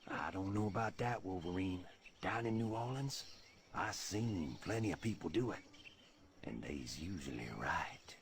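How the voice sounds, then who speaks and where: A roguish Cajun in a video game. He sounds skeptical, fairly low in pitch, with faint noise behind. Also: lively intonation, unhurried. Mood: insistent. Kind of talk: video game cutscene acting.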